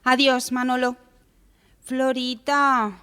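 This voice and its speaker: An actress plays a contrite, downcast teenage girl in a radio drama. Her high voice has a theatrical delivery and a long room reverb. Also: level tone, slow pace, bright, forceful tone, clear, resonant tone, exaggerated voice. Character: Valentina, repentant, downcast seventeen-year-old young woman.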